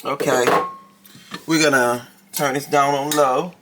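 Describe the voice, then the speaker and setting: A woman with a raspy voice, chatting casually to her viewers in a home kitchen cooking vlog. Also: rumbling low, steady tone, unhurried, very noisy. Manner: chatty, talks straight to viewers. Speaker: cooking vlogger.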